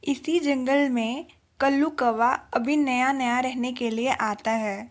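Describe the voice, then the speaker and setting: A woman narrator with a high voice tells a children's tale from a script. She takes it slowly and in a storybook style. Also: fairly even intonation.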